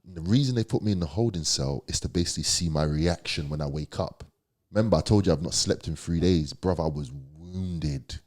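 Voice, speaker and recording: Male podcast guest, London accent, deep low voice, slangy and emphatic storytelling. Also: a little fast, darkish tone. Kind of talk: candid storytelling.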